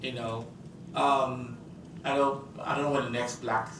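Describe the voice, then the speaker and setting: A man's voice: medium pitch, flat delivery, unhurried, distant mic, noisy background. A man moderating a community event, wrapping up casually with lots of "you know" and encouragement. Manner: casual, filler-heavy, encouraging. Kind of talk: closing remarks at a community event. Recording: echoey room recorded from afar.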